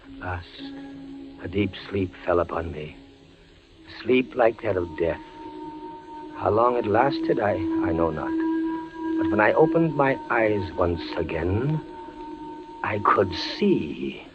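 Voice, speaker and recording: An actor playing a frail, desperate condemned prisoner in a halting, breathless, theatrical 1940s radio style. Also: male voice, on the low side, big pitch swings. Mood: haunted recounting. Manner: halting, breathless, theatrical delivery with repeated words. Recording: muffled 1940s radio recording with music.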